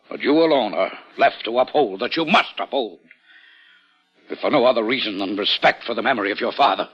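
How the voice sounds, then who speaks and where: An actor playing a pompous, scheming and domineering rich uncle with blustering, emphatic delivery in vintage radio style. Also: male voice, medium pitch, a bit bright. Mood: indignant, preaching. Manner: blustering, emphatic, repeats himself for effect. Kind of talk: 1940s-50s American radio acting. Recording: muffled vintage radio recording.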